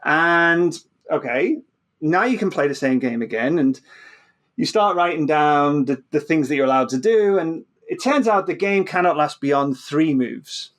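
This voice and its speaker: A man's voice: fairly high pitch, a little slow, a bit bright. A man explaining science informally as an interview guest, often repeating words and restarting, checking in with "right?".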